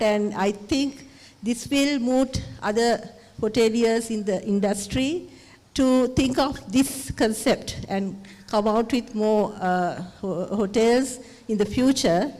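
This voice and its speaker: A woman's voice: mid-range voice, a little mellow. A woman tourism official with a Sri Lankan accent giving a formal thank-you speech, hesitant with fillers. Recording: long hall reverb.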